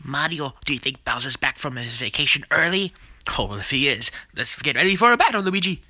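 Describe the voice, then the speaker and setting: Performer doing a sarcastic game sidekick, very sharp bright tone, lively pitch, melodramatic, loyal brother. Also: fairly quick, no echo, heavily acted. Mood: easygoing. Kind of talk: amateur comedic game parody voice acting.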